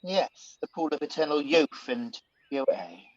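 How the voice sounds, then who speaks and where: An actor playing a scheming, smooth-talking villain who poses as a soothing conscience in a radio pantomime. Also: male voice, on the high side, a little slow, no room sound, dull audio, close to an everyday voice. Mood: persuasive, urging. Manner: smooth-talking, persuasive, insinuating.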